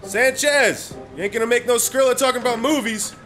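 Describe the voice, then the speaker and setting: An actor playing a brash, bossy telesales boss with pushy, slangy delivery in a radio drama. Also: male voice, very high voice, a little fast, fairly bright tone. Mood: barking. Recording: music under the dialogue.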